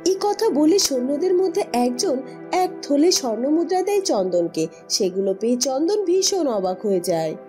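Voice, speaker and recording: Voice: sits high, sing-song, normal speed, music in the background. A narrator reading a scripted fairy tale for a cartoon in storybook style.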